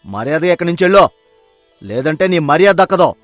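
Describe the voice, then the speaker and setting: A man's voice: fairly high pitch, sing-song, fairly quick, no room sound, soft background music. A man voicing an angry village headman, scolding and commanding, in a dramatized story. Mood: angry, threatening. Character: stern, angry male village headman guarding tradition.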